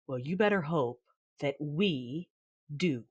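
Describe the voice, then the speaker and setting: An actress playing a proud, battle-hardened Sith mother and dark warrior in an audio drama. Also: deep voice, expressive, takes it slowly, husky, no room sound, muffled recording, heavily acted. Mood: grim, controlled. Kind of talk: first-person dramatic narration of audio drama.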